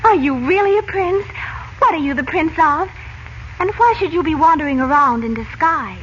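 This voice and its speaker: A woman's voice: high voice, animated, normal speed, a bit bright. An actress playing an eager, naive American teenage girl, anxious about her father, breathless and earnest, in 1940s radio drama style. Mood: curious, pressing. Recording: muffled, noisy old radio recording.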